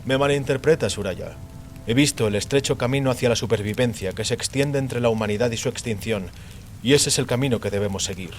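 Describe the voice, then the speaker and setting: A man voicing a calm, imperious warrior emperor in dramatized audio fiction, solemn, commanding and utterly self-assured. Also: mid-range voice, fairly quick, natural voice. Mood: self-righteous. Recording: clearly noisy, rain behind voice. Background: rain.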